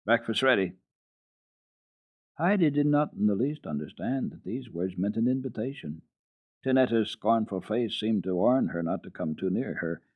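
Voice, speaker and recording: A man telling a children's story on a radio program in a dramatic, deliberate reading with expressive pauses. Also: lowish voice, husky, no echo. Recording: tinny band-limited archival radio recording.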